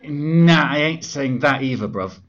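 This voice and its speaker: A woman's voice: low-pitched, animated, a little slow. A woman playing a cocky young male Galileo as a brash lad with London street slang, in a comedy sketch. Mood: sheepish. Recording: dull, lo-fi audio.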